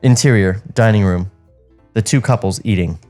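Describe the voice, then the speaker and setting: A man's voice: on the low side, normal speed, a little mellow, soft background music. A man co-hosting a podcast, casually reading screenplay directions and chatting in a comedic table read.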